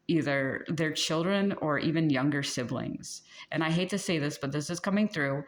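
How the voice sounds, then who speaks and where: A woman's voice: low voice, creaky. A woman giving a casual, earnest tarot reading to camera, chatty and rambling, often correcting herself mid-sentence. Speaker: tarot reader.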